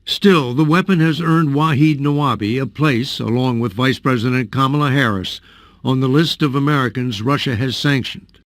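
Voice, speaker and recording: Male news correspondent, mid-pitch, crisp probing delivery. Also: moderate pace, no room sound, studio quality. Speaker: television correspondent. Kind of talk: news report narration and interview questions.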